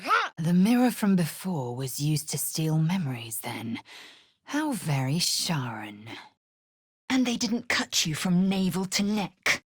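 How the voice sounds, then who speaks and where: Fierce alien warrior woman for a fantasy game, slow n husky, super imperious, clipped words. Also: on the low side, very animated, no echo, clean studio sound, full character voice. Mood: proud, resolute, then scornful, impatient. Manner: imperious, clipped, solemn delivery. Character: fierce, haughty young female githyanki alien warrior. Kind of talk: fantasy video game voice acting.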